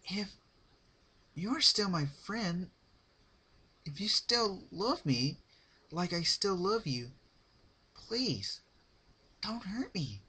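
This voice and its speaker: A man voicing a frightened female pony, halting and pleading, in a fan-fiction dramatic reading. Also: high voice, sing-song, pushed and bright, husky, pitched above the performer's own voice, full character voice. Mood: tearful begging.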